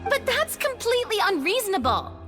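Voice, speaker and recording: A woman playing an earnest, anxious young female adventurer in a video game, expressive and questioning. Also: very high-pitched, brisk, soft background music, heavily acted. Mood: worried.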